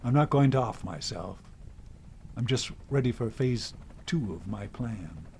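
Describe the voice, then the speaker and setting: An actor playing a bitter, cagey older American father in an audio drama, gruff, folksy and unsentimental. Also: medium pitch, animated, unhurried, mellow, slight background noise. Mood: dismissive.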